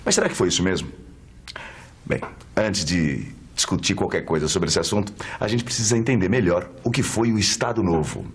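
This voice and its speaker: A man's voice: deep voice, fairly quick, breathy edge. A Brazilian man presenting scripted educational narration in an explanatory way. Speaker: documentary presenter.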